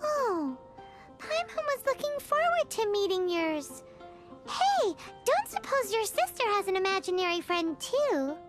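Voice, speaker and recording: A woman voicing a tiny, excitable, childlike fairy companion girl in a video game, nosy and blunt, with a squeaky voice. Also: a little slow, over music, heavily acted. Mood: excited, curious. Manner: exclamatory, talks about self in third person. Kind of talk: video game character dialogue.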